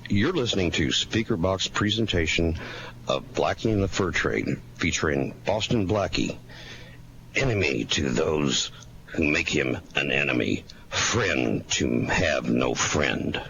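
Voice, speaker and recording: A man announces a radio play with old-time drama. His voice is very deep and gravelly, with wide swings in pitch. The recording is clearly noisy. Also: expressive, unhurried, muffled recording.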